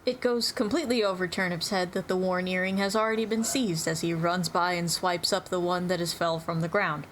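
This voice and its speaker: Female narrator, slightly low pitch, scripted story reading, light noise behind. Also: fairly even intonation, fairly quick, a bit bright, rough recording.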